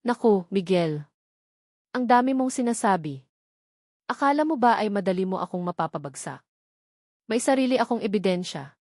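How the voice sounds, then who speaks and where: A woman's voice: mid-range voice, animated, a little mellow, no room sound, studio quality, lightly acted. A woman playing a smug, scheming male politician who taunts with false sweetness in an audio drama. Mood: smug, threatening. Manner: slow, honeyed taunting.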